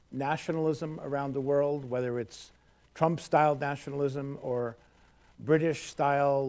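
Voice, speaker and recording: A man's voice: mid-range voice, darkish tone. A man speaking as a panelist, candid and hesitant, restarting his sentences. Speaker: panelist, journalist. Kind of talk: panel discussion answer. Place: stage at a law school panel table.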